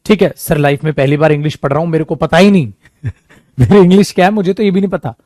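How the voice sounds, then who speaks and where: A man teaching an English coaching class for competitive exams, talking to students as "beta" and "mere dost" and acting out their doubts in a lively way. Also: on the high side, quick pace, no echo, studio quality.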